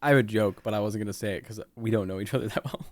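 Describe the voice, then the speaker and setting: A man with a fairly low voice chats as co-host of a live stream. He is teasing and quick to joke, and the talk is loose and informal. The recording is dry. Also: a little mellow, no room sound. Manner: teasing, joking. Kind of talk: casual banter on a live stream.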